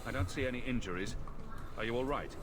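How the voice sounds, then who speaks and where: A man's voice: mid-range voice, fast, background noise, lightly acted. Man acting a concerned adventurer, roomy echo. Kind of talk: video game voice acting. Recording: roomy echo, music behind.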